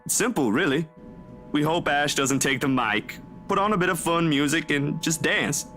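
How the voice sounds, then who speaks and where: A man's voice: on the high side, normal speed, bright, forceful tone. An actor playing an easygoing, bashful young male friend in a visual novel game, talking in an informal, self-deprecating way. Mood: bashful, playful. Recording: music behind the voice. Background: music.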